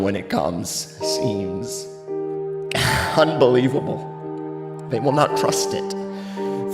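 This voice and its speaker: An actor playing a grief-stricken, wavering drunken nobleman, lamenting theatrically in a classical tragedy. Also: lowish voice. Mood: weary, wary. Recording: long hall reverb, music underneath.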